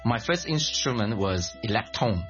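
A man from Malaysia, a guest speaking in a casual interview, animated and quick to laugh, often restarting his words. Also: lowish voice, dull audio, soft background music. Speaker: guest, creative director and songwriter.

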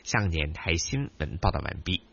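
A man reading a formal radio news and weather bulletin in a measured, even way. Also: sits low, creaky. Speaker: radio newsreader. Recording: dull broadcast sound.

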